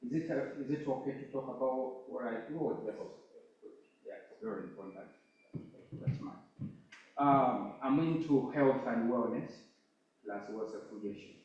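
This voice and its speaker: A courteous man addresses a gathering with polite, slightly formal remarks. His voice sits at an ordinary pitch. It reaches the mic from afar in a very echoey hall. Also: measured pace, darkish tone, recorded from a distance, lo-fi sound. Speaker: event speaker. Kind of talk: polite unscripted remarks at an event.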